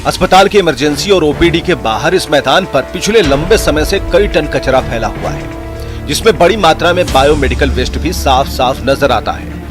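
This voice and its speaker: A male news narrator with an Indian accent reads scripted reports. His voice sits a little high, with music clearly underneath.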